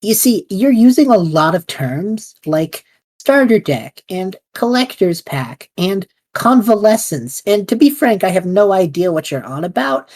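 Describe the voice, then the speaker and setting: A woman voicing Treff, a bewildered, sarcastic young traveler, with a husky, lively delivery. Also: medium pitch, a little slow. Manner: sarcastic, incredulous. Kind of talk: fan audio drama acting.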